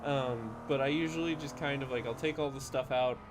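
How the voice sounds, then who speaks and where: A man's voice: medium pitch, brisk, background noise. A man streaming a driving game, chatting casually after just waking up, slightly nasal and drowsy, rambling with many fillers and restarts.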